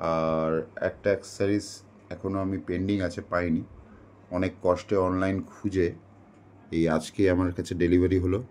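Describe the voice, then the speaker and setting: Very low-voiced man, flat delivery. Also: a little hiss or hum. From India. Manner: explanatory, mixes in English words and phrases. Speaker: product unboxing presenter. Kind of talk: casual unboxing narration.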